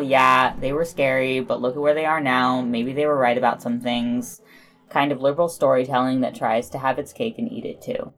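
A woman's voice: low voice, slight background noise. A woman hosting a podcast, reading scripted news items and reviews with her own commentary.

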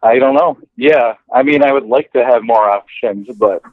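A man speaking casually and haltingly as a podcast guest over a tinny remote call. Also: medium pitch, dry recording. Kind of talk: casual conversation. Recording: tinny, phone-like line.

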